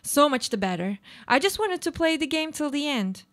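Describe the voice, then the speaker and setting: Woman acting as high-voiced haunted, anguished woman. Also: big pitch swings, normal speed, fairly bright tone. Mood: brooding, haunted. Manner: theatrical, introspective, brooding. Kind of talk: live radio play monologue.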